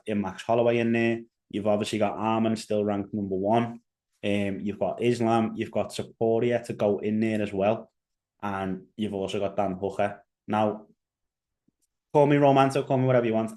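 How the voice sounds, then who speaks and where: A man's voice: on the low side, a little mellow. A young man with a Liverpool accent giving casual solo commentary as a podcast host, rambling with fillers and restarts. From England.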